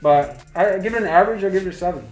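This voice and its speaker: A man's voice: high voice, rapid-fire, darkish tone. A man chatting casually as a review co-host, with a loose, slangy, conversational way of talking. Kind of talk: casual chat. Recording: roomy echo, faint music behind. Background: faint music.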